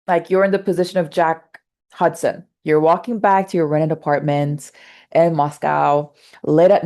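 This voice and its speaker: A woman with a low-pitched voice hosts a casual interview. She laughs easily and fills her questions with hesitations. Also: warm tone. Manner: laughs easily, speaks with many fillers. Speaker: interview host.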